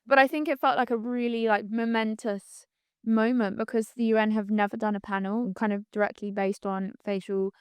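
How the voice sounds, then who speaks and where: A woman's voice: fairly high pitch, steady tone, brisk, clean tone, dry recording. A woman, talking reflectively as a podcast guest, full of likes and you-knows. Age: 20s. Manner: chatty, reflective, many "like"s and "you know"s. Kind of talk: casual conversational interview.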